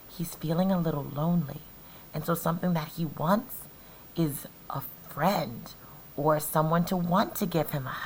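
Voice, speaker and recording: A woman teacher doing an interactive storybook read-aloud, deliberately questioning and explaining things to young children. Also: lowish voice, gravelly, slight background noise, poor audio.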